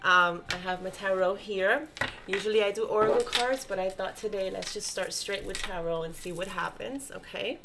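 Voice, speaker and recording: A woman with a stuffy, congested nose giving a gentle, reassuring spiritual tarot reading and often checking in with her listeners. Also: mid-range voice, normal speed, over music. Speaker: tarot reader.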